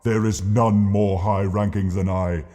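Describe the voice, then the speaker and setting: A slow, echoing shadow demon villain, acted by a man, ancient and pompous. He declaims every phrase grandly and with menace, in a dark tone swimming in long reverb. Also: lowish voice, flat delivery, natural voice. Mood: imperious anger. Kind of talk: audiobook character voice.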